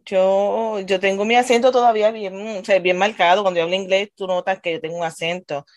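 A woman with a Puerto Rican accent, a teacher, chatting casually and telling animated stories, dropping English words into her talk. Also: medium pitch, a little fast, no echo, studio quality. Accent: Puerto Rican Spanish. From Puerto Rico. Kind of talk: casual chat.

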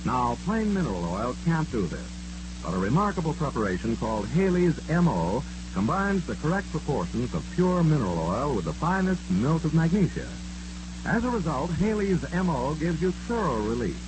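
A man's voice: on the high side, big pitch swings, normal speed. A man announcing a 1940s-style radio commercial with formal, emphatic salesmanship. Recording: noisy old radio recording with hum.

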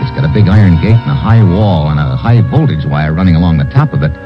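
An actor playing a tough, sardonic American investigator with clipped, wisecracking delivery in a 1950s radio drama. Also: male voice, low voice, expressive, fast talker. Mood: brisk, wry narration. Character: hard-boiled, sardonic American investigator hero. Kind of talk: 1950s radio drama acting and first-person narration. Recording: muffled old radio recording with music.

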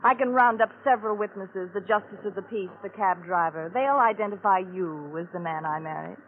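A woman's voice: mid-range voice, a little fast, lightly acted. A woman playing a shrewd, determined new bride in vintage radio drama, with an arch, pointed, theatrical delivery. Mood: coolly triumphant. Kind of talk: 1940s-50s radio drama acting. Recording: phone-like vintage radio, faint noise.

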